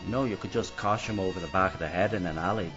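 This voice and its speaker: An actor playing a guarded, dry-witted career criminal in a radio mystery, speaking tersely. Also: male voice, deep voice, fast, a bit bright. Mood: dry, businesslike. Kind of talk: radio mystery drama acting. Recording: dull band-limited audio with music.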